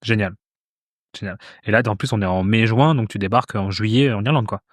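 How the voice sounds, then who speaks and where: A man hosting a podcast interview, chiming in with quick interjections and affirmations. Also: lowish voice, no room sound, clean studio sound. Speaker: podcast host. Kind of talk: casual interview.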